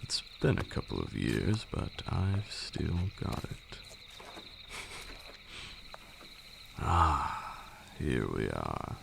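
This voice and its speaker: A man's voice: wildly swooping pitch, background noise, full character voice. A man playing an ancient, brooding vampire lord in an intimate ASMR roleplay, seductive and menacing, with an archaic, commanding way of talking. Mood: proud, wistful. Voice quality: deep gravelly voice, breathy at times. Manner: archaic, deliberate, teasing and commanding.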